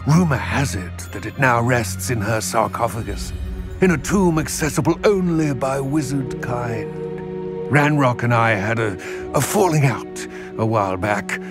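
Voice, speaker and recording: Elderly goblin, wary, weathered, formal, animated intonation, video game dialogue. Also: male voice, mid-range voice, music in the background. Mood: guarded, measured. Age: older. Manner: measured, formal, deliberate phrasing. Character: wary, elderly male goblin metal trader.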